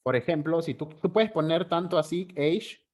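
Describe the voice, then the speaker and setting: A man's voice: fairly high pitch, expressive, a little mellow. Peruvian man teaching code over a call. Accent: Peruvian Spanish. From Peru. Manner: unhurried, ends phrases with tag questions, frequent fillers, occasional throat clearing. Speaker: programming instructor. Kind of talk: live online coding class. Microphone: video call.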